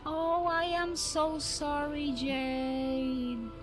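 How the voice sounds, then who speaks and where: Dominican-accented woman streaming, kinda high voice, chats loosely and repeats herself, music under her. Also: little pitch movement, drawn-out words. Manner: rambling, with many restarts and repeated words. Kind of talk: casual chat with viewers.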